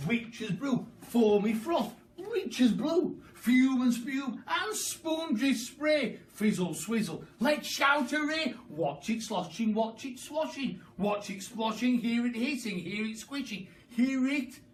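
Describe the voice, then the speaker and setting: A man voicing a mischievous, excitable young boy from a storybook, with an eager, scheming manner. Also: very high-pitched, slow, hardly any pauses, pitched above the performer's own voice, full character voice. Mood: chanting, excited. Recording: far from the mic, noisy background.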